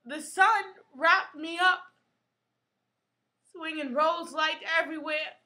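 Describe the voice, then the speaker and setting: A woman with a high, bright voice performs a poetic stage monologue. She speaks with deliberate, emotional phrasing and a theatrical sense of drama.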